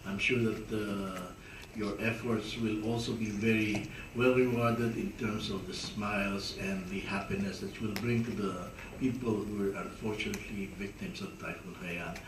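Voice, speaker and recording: A man speaking as a consul general, giving formal thanks with many hesitant filler pauses. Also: lowish voice, fairly even intonation, background noise. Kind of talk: formal thank-you remarks. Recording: echoey room, distant mic.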